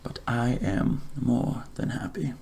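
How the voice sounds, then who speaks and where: Hushed, very creaky low-key man doing ASMR. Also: a little hiss or hum. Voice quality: breathy, hushed, half-whispered delivery. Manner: gentle, courteous, unhurried reassurance. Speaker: ASMR creator playing a night-shift barber. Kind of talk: ASMR roleplay, soft-spoken to whispering.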